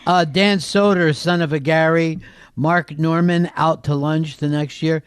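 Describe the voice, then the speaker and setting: A man's voice: fairly high pitch, fairly even intonation, unhurried, clean tone, no echo, clean studio sound. A man hosting a comedy talk show with casual, joking, rambling banter.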